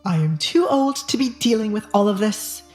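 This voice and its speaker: A woman's voice: mid-range voice, animated, moderate pace, a little mellow, reverberant, soft background music, lightly acted. A woman playing a weary, irritable middle-aged husband with secrets, grumbling dismissively in an audio drama.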